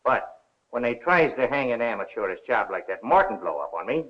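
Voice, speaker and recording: An actor playing a gruff, aggrieved crook in a 1930s film, talking in tough, ungrammatical slang. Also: male voice, medium pitch, big pitch swings, a little fast, close to an everyday voice. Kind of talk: 1930s Hollywood film acting. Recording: dull 1930s film soundtrack, faint noise.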